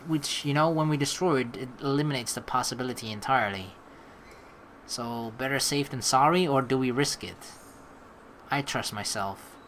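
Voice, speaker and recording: Let's player, medium pitch, reading aloud and commenting casually, rambling, faint background noise. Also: fairly bright tone. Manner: rambling, halting when thinking aloud.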